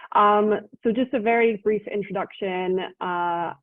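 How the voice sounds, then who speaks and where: A woman's voice: medium pitch, fairly even intonation, measured pace, dry recording. Scottish israeli woman talking casually w lots of ums, tinny dry call audio. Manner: articulate, many ums, conversational. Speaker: guest speaker. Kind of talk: informal talk.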